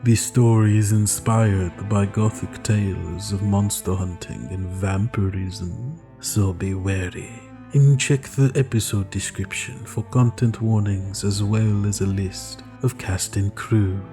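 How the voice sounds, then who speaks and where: A man's voice: on the low side, slow pace, few pauses, music in the background. A man reading a scripted podcast introduction as an announcer.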